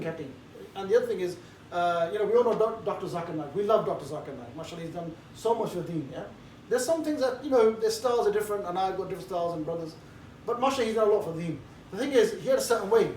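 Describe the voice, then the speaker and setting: Relaxed, cheeky man in an echoey room. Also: high voice. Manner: cheeky, relaxed, jovial banter. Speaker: street preaching trainer. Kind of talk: informal group briefing. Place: indoor room with a group. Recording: roomy echo, distant mic, faint background noise.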